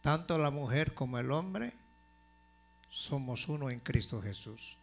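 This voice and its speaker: A male pastor preaches at a very slow, deliberate pace. His voice sits at a middle pitch, and he builds his points to forceful emphasis. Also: lively intonation, vocal fry. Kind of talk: sermon.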